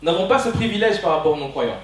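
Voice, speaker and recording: A man's voice: on the high side, big pitch swings, very fast. A man in his twenties from Belgium preaching a passionate, emphatic sermon that leans on repeated phrases. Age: 20s. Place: church hall during a service. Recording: very echoey church hall, distant mic.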